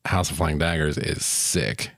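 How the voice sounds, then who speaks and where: A man with a deep voice co-hosts a movie podcast in casual back-and-forth banter. The sound is dry, with hardly any room on it. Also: fairly even intonation, a little slow, vocal fry, fairly bright tone, no room sound. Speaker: podcast co-host reviewing films. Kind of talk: casual film-review banter.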